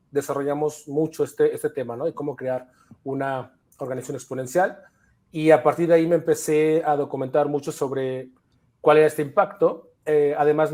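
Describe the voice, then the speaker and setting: A man's voice: a little high, dark tone. A man from Mexico City with a Mexican Spanish accent, talking as a podcast guest in a thoughtful way with frequent fillers. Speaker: podcast guest, chapter leader. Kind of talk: casual conversation.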